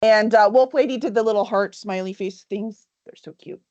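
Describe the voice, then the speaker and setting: A woman's voice: medium pitch, moderate pace, a little mellow, muffled recording. A woman hosting a livestream, chatting upbeat and casual about a book and reading its cover copy aloud. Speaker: livestream host.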